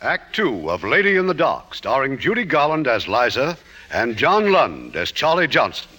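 A man's voice: mid-range voice, wildly swooping pitch, moderate pace, noisy background. A man hosting and narrating in scripted 1950s radio style, polished and dramatic. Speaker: producer and host narrating the play.

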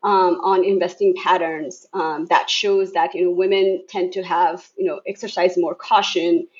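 A woman's voice: fairly low pitch, little pitch movement, unhurried, highs cut off. A woman from Bangladesh, a guest on a casual talk show, speaking thoughtfully with many fillers and restarts. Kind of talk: casual podcast interview.

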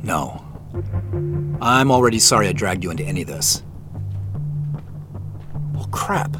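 A man's voice: fairly low pitch, animated, a little slow. A man acting in an audio drama as an uneasy amateur sleuth, hesitant and dryly understated. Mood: uneasy, wry. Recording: clear music bed underneath.

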